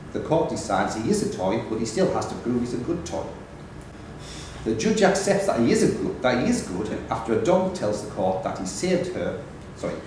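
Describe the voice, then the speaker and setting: Male quizmaster reading lengthy questions with occasional stumbles; distant, very reverberant recording with faint noise. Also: lowish voice. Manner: stumbles and corrects himself while reading. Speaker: quiz question reader. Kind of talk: reading long quiz questions aloud at a live event. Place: echoey indoor pub function room. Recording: very echoey noisy room, distant mic.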